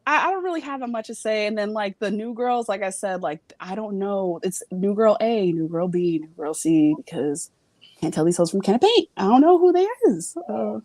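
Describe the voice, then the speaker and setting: A young woman calls in from home over a video call and rambles frankly about reality TV, with lots of fillers and the odd curse. Her voice is mid-pitched and sits dry and close, with little room sound around it. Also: expressive, moderate pace, a little mellow, no echo. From Virginia, US, Jamaican family. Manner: rambling, frank, swears freely, many fillers. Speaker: call-in guest. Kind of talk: casual chat.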